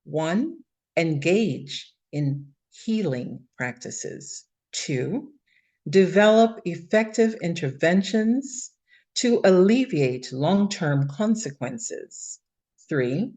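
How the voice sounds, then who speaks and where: Woman cohost, low voice, talks slow and steady, goes point by point. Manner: measured, list-like delivery, reading from notes. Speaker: podcast co-host. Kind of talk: prepared talk-show discussion.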